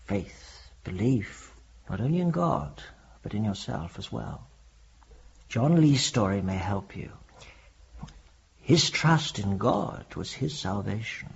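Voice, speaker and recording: An actor playing a kindly, earnest paternal storyteller in a radio drama, husky, gravelly and measured. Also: male voice, fairly low pitch, animated, exaggerated voice. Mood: gentle, reflective. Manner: measured, avuncular. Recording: dull lo-fi audio.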